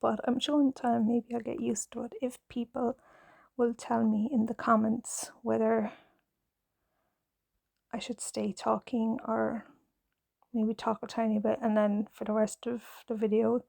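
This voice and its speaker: A shy Irish woman in her thirties talks in a husky, half-whispered hush, her slow words trailing into gravelly fry. Her voice sits soft and mellow with a flat, even melody, close to a clip-on mic in a dry room. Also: fairly high pitch, no room sound. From Ireland. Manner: gentle, hesitant, nervous, with many fillers. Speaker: knitting ASMR creator, mother. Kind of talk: soft-spoken ASMR chat. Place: home, in pajamas. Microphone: clip-on mic on pajamas.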